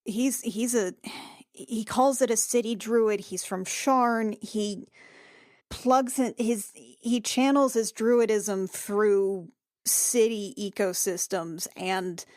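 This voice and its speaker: A woman playing an anxious young medic in a tabletop game, halting and stammering, repeating her words. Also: medium pitch, steady tone, darkish tone, no room sound, clean studio sound, close to an everyday voice. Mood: urgent insistence. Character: rattled, anxious young woman medic and ship's crew member.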